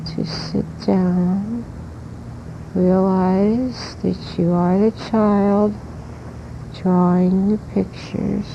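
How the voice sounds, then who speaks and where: A woman giving a spiritual lecture in deliberate, halting phrases, instructing the listener like a teacher, on a muffled old cassette recording. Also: vocal fry. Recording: muffled noisy old cassette tape with rumble.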